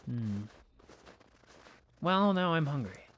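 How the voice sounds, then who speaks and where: A woman's voice: sits low, big pitch swings, takes it slowly, slight background noise, full character voice. A woman playing a stubborn, skeptical young friend in a naturalistic audio drama, with a dry, flippant delivery. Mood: dry, casual.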